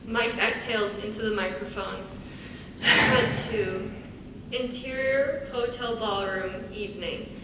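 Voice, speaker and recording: A woman's voice: mid-range voice, slow. A young woman reading stage directions as scripted narration for a live dramatic reading. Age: young adult. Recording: distant echoey hall, faint noise.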